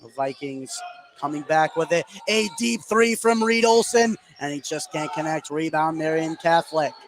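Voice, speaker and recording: A man calling live high school basketball on the radio as play-by-play commentator, getting excited on big plays. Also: moderate pace, crowd noise. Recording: gym noise behind the voice. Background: gym ambience, bouncing basketball.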